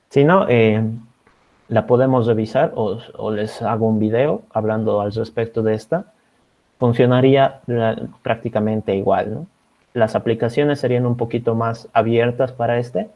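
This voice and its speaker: A man's voice: medium pitch, mellow. A man from Bolivia teaching an online programming class as a teaching assistant. He explains code step by step and keeps checking in with his students. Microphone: video call. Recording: slightly dull video-call audio.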